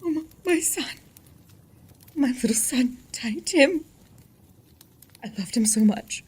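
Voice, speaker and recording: A bereaved, tender mother in a radio play. Her voice is high and slow, faltering as she speaks. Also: animated, a little mellow, slightly rough, exaggerated voice. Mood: grieving. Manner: faltering, repeats words. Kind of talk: audio drama acting.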